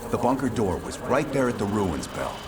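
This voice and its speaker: An actor playing a gruff, ruthless American intelligence operative and war veteran, pressing and commanding, in a video game cutscene. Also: male voice, on the low side, sing-song, moderate pace, very noisy. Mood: insistent, commanding. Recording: music behind.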